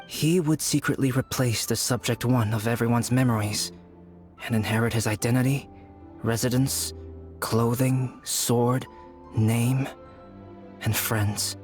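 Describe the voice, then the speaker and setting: An actor playing a calm, pensive young alchemist in a game, speaking in a grave, deliberate, storytelling way over music. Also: male voice, mid-range voice, natural voice. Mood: measured, ominous. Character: calm, pensive young male alchemist telling a dark tale. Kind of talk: measured game dialogue storytelling. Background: background music.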